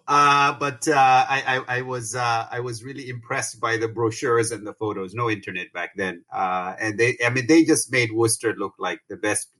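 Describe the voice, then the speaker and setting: Bright-voiced man from Tanzania, hesitant. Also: lowish voice. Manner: hesitant, many fillers and restarts, laughs easily. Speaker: podcast guest, digital health entrepreneur. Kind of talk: casual conversational interview.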